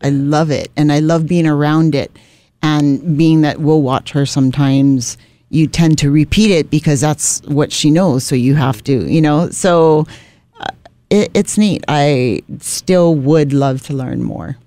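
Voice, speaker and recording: A woman's voice: deep voice, measured pace, warm tone, no echo. A middle-aged woman from Hawaii, a radio executive telling her story on a podcast, often adding "you know" in a small radio studio at a broadcast mic. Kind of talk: casual interview chat. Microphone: studio broadcast mic on boom arm.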